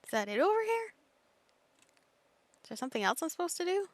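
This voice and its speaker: A woman's voice: extremely high pitch, very animated, brisk, no echo. A woman game streamer giving casual solo commentary, muttering reactions to herself as she plays.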